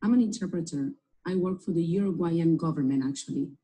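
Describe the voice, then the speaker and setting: A woman's voice: lowish voice, brisk, mellow, rough recording. A woman reading parts in scripted textbook dialogues in a plain delivery. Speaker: textbook audio voice actor.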